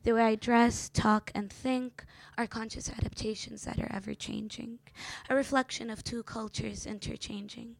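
A woman's voice: on the high side, fairly even intonation, moderate pace, creaky, few pauses, noisy background. A woman in her twenties from Jordan, a native Arabic speaker with an American accent, performing spoken word poetry with rhythmic emphasis. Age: 20s. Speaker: poet reader.

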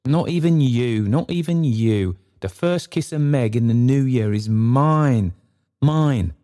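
A man's voice: mid-range voice, slow, warm tone, pitched above the performer's own voice, close to an everyday voice. A man voicing a generous, jolly young bridegroom with a hearty, boisterous manner.

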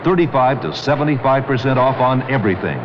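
A man reading a 1970s radio commercial like a salesman reeling off bargains. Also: mid-range voice. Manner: brisk salesman listing bargains. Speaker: radio ad announcer. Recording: lo-fi radio broadcast with loud noise.

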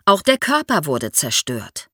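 Animated woman explaining, close dry sound. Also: deep voice, a little slow, husky, no room sound. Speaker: expert guest, archaeologist. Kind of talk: explanatory podcast interview for young listeners.

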